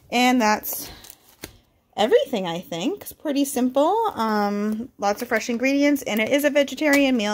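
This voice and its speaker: A woman talks through her home cooking in a relaxed, chatty way, often pausing on an um. Her voice is a little congested and sits at an ordinary middle pitch. Faint kitchen noise runs behind her. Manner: relaxed, chatty, frequent ums. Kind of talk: casual kitchen vlog narration.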